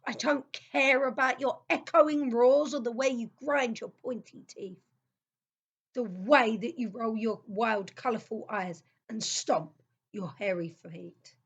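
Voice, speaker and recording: A woman's voice: a little high, slow pace, creaky, breathy edge, highs cut off, exaggerated voice. A woman playing an affectionate, homesick young boy in a children's audio play. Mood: fond, reassuring.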